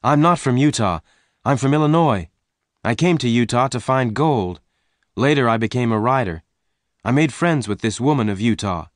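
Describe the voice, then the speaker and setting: A man's voice: medium pitch, animated, moderate pace, no room sound, studio quality, pitched above the performer's own voice, close to an everyday voice. A man voicing a bold, insistent young cowboy who stands his ground in a western story. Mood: defiant. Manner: insistent, defiant.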